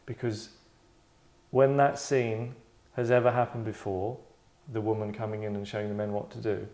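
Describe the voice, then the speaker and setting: A man's voice: lowish voice, level tone, moderate pace, a little mellow. A man acting as host and director, reading stage directions and discussing the script informally, often repeating phrases for emphasis. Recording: echoey room.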